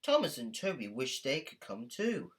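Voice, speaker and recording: Very low-voiced woman reading a children's story. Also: very noisy. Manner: expressive, deliberate. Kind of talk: scripted children's story narration. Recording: music under the voice. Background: music.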